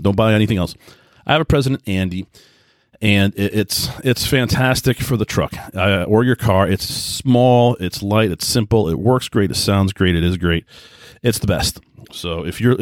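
Man, podcast host, lowish voice, very quick pace, rambling conversational delivery, informal. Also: dry recording, studio quality. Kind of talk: casual solo podcast monologue. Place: indoors at home by a computer.

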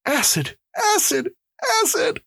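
A man's voice: extremely high pitch, wildly swooping pitch, takes it slowly, creaky, bright, forceful tone, no echo, clean studio sound, pitched above the performer's own voice, full character voice. A man voicing a terrified, guilt-crazed prisoner in an audiobook, gasping and pleading incoherently. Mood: shivering fear. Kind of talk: audiobook character acting.

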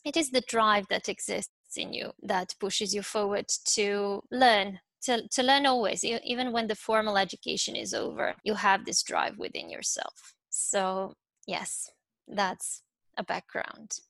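A woman's voice: mid-range voice, measured pace, gravelly, a bit bright, dry recording. A middle-aged woman with a Serbian accent, giving reflective interview answers and often searching for her words. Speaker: interview guest, university professor.

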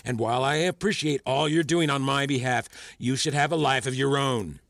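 An erudite male mentor in a podcast drama, recorded close and dry. His mid-pitched voice is measured and teacherly, with a probing, patronising manner that steers whoever he addresses. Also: gravelly, fairly bright tone, dry recording. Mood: measured, instructive. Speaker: voice actor in a fiction podcast. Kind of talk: acted audio drama dialogue.

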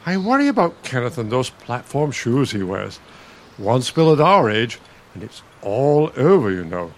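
A man's voice: medium pitch, big pitch swings, normal speed. A man playing an irritable elderly bookish husband in a radio play, testy, condescending and sardonic. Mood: insistent. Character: irritable elderly bookish husband, pompous retired college dean.

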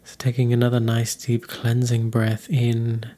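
A man leading a guided meditation in a calm, unhurried, soothing manner. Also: medium pitch.

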